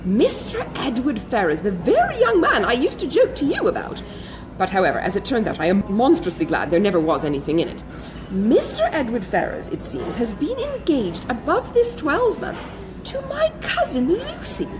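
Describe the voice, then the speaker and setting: A woman voicing an excitable, good-hearted older society lady who gossips in breathless exclamations, in a classic novel audiobook. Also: on the high side, normal speed, music in the background, rough recording. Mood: astonished, gossiping.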